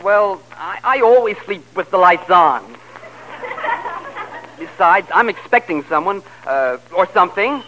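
An actor playing a flustered, evasive husband in old radio comedy style, stammering and blustering. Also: male voice, sits high, expressive, measured pace. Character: flustered, evasive husband caught between two wives. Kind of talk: 1940s radio comedy acting. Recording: noisy old radio broadcast recording.

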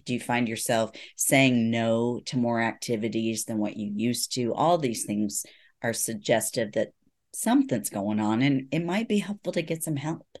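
Middle-aged guy, psychologist on an interview, earnest and reassuring, mid-pitched voice. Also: moderate pace. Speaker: psychologist guest. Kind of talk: conversational interview.

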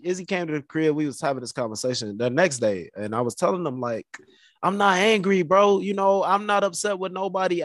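Man, podcast co-host; slightly high pitch, dry close recording, laughing self-mocking storyteller. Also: no echo. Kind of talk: casual chat.

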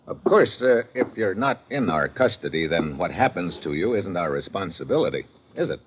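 An actor playing a tough, wry American undercover agent in a 1950s radio drama, clipped and slangy. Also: male voice, deep voice, sing-song, normal speed, a little hiss or hum. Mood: coolly menacing. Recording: muffled old radio recording.

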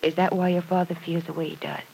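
A woman playing a kindly, sympathetic lady of the house in a classic radio drama, with a gentle, reassuring manner. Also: on the low side, little pitch movement, fast, gravelly, slight background noise.

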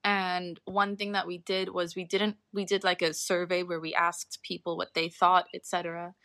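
A woman's voice: on the low side, a bit bright, no room sound. A young adult woman talking informally as an interview guest, explaining her research and qualifying points as she goes.

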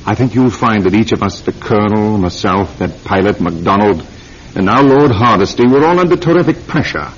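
An actor playing a proud, analytical titled surgeon in a classic radio drama, speaking formally and theatrically with pauses for emphasis. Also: male voice, lowish voice, expressive, slightly rough. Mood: grave, analytical. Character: proud, analytical titled British surgeon confined for attempted murder. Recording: muffled old radio recording.

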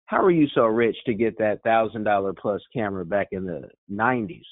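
A male host speaks earnestly, pausing and restarting as he builds his question. The sound is dry and close, squeezed thin like a phone call. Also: on the low side, dry recording. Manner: earnest, halting, many fillers. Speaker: interview host. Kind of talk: conversational interview.

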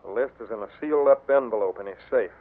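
A man's voice: mid-range voice, fast talker, natural voice. A man playing a jittery ex-robber clerk. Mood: nervous. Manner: tense and defensive. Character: nervous male clerk, former bank robber. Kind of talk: 1940s radio acting. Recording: tinny old radio broadcast.

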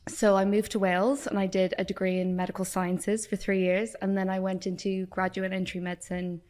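A woman's voice: mid-range voice, little pitch movement, brisk, darkish tone. An Irish woman in her thirties, a doctor and nutritionist, answering interview questions thoughtfully with many fillers. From Ireland. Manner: thoughtful, with many likes and ums. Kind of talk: podcast interview.

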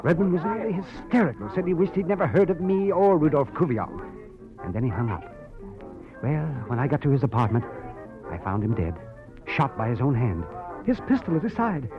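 A man's voice: fairly high pitch, very animated, brisk. An American actor playing an urgent, persuasive man in a 1940s radio drama, theatrical and emphatic. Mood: grave, shaken. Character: urgent, persuasive man hiring a private detective. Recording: muffled old radio recording, music behind.

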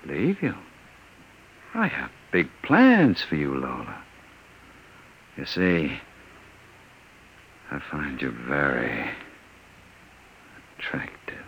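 Menacing radio-drama gangster lover: unruffled, suave, forceful bright tone, animated pitch. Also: male voice, very slow, gravelly, long pauses, a little hiss or hum, full character voice, low-quality recording. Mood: seductive, controlling. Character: smooth-talking, cold-blooded male crook, controlling lover. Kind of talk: old-time radio drama acting. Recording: vintage radio recording.

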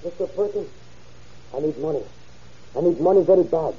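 A man's voice: on the high side, fairly quick, slightly rough. A man playing a desperate, pushy immigrant demanding money in a radio drama. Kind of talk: old-time radio drama acting. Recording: dull muffled old radio recording.

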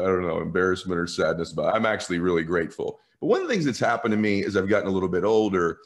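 A man in his fifties hosting a conversational interview, speaking earnestly and effusively as he reflects personally. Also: low-pitched. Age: 50s. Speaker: interview host.

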